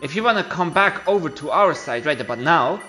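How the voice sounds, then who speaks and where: A man's voice: a little high, lively intonation, fairly quick, sharp tone. A man voicing a smug, taunting villain creature with a folksy drawl in a game story, with music behind him. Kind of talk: voiced video game story playthrough.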